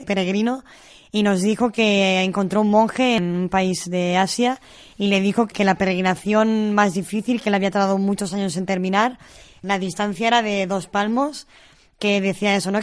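A woman answering in an interview in a thoughtful, conversational way. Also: medium pitch.

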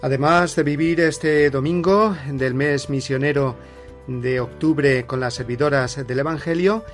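A man with a Spain Spanish accent hosts a Sunday religious radio show at a middling pitch. He speaks in a measured, welcoming way and often pauses on "eh" fillers. Soft music plays faintly behind him. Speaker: radio host.

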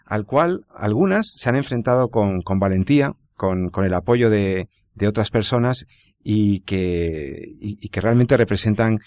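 A man hosting a radio program from a studio, formal and measured. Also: lowish voice, animated, darkish tone, dry recording. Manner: formal, measured presenter with some restarts. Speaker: radio host.

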